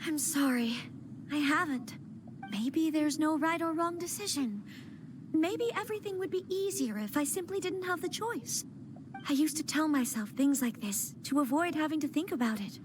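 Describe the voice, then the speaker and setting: An actress voicing a gentle young noblewoman in a video game, soft-spoken, earnest and hesitant, with game music behind her. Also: high voice, expressive, moderate pace, background noise. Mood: regretful. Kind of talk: game voice acting.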